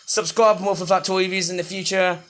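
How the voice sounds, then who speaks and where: A man with a high voice rambles through a toy review, chatty and playful. His voice sounds a little under the weather, and he breaks into sudden excited outbursts. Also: quick pace, slight background noise. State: voice not fully well. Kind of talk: casual toy review vlog.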